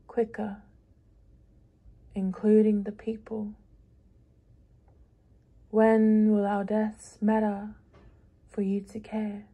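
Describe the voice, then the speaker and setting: A woman reading her own spoken-word piece aloud, measured and deliberate, pausing between phrases. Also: mid-range voice, dark tone, breathy edge. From Pacific island.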